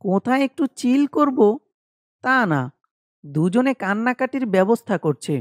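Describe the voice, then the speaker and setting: A man voicing an emotional, complaining young friend in an animated horror story. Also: extremely high pitch, moderate pace, dry recording, clean studio sound. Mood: teasing, sulky.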